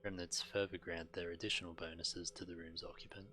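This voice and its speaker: A man giving casual, matter-of-fact and slightly halting gameplay commentary as a streamer, reading on-screen text aloud. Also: low voice, flat delivery, pushed and bright, slight background noise. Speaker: game streamer.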